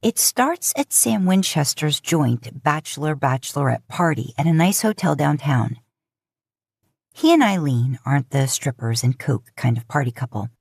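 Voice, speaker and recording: Low-voiced woman narrating fiction. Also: animated, moderate pace, creaky, a little mellow, slightly rough, no room sound, clean studio sound. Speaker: podfic narrator.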